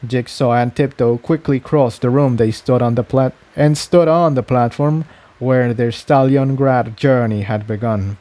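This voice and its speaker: A man's voice: medium pitch, unhurried, mellow. A man reading fan fiction aloud as scripted story narration.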